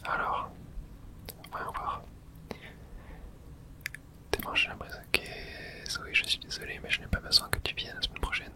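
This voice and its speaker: Someone whispering throughout an ASMR let's play, narrating the game and reading its texts aloud in a hush. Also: measured pace, pauses often. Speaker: host playing and narrating a game.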